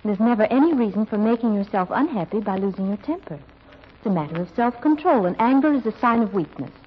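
An actress playing a prim, earnest young daughter in old-time radio style, speaking in a proper, preachy, formal manner. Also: female voice, medium pitch, expressive, fast, lo-fi sound, a little hiss or hum, close to an everyday voice. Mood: earnest preaching. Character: prim, earnest, pious-sounding daughter, primly self-controlled.

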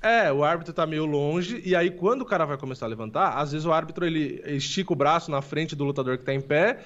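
A man's voice: a little high, a bit bright, muffled recording. A Brazilian man casually breaking down fights in a podcast chat, analytical and full of filler tags. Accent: Brazilian Portuguese. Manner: fast, analytical, lots of "né" and "tipo". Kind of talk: casual MMA discussion podcast.

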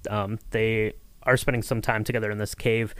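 Guy podcast host, smooth clear voice, dry sound, conversational, casual discussion. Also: fairly low pitch, little pitch movement, normal speed, no room sound.

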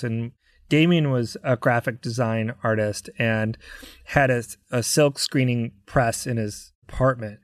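A man's voice: medium pitch, no echo. American man telling stories, dry close sound. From United States, Chicago area. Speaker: podcast guest, touring rock drummer. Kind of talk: casual anecdote storytelling.

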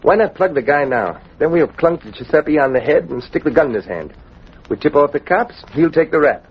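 A man's voice: on the high side, expressive, moderate pace, husky. An actor playing a nervous, callous gangster's henchman, tough and slangy, in a 1940s radio drama. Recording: muffled, hissy old radio recording.

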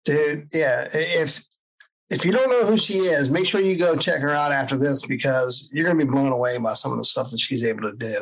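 A man's voice: medium pitch, brisk, rough recording. A man co-hosting a podcast in a laid-back, slangy casual chat. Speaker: podcast co-host.

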